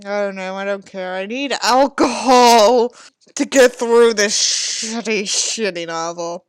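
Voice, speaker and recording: A woman's voice: medium pitch, slow, clear, resonant tone, no room sound. A woman doing a sarcastic dramatic reading with asides, theatrical and sardonic, given to swearing. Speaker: host reading a book aloud with commentary.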